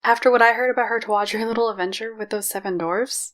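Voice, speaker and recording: Aggrieved wife for a comic dub, played by a woman: high, loud, haughty, indignant. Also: steady tone, quick pace, close to an everyday voice.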